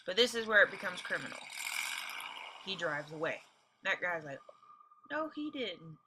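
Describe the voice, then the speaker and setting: A woman's voice: fairly low pitch, normal speed, background noise. A woman teacher reading a novel aloud expressively, breaking off for informal explanatory asides. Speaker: teacher reading along with listeners.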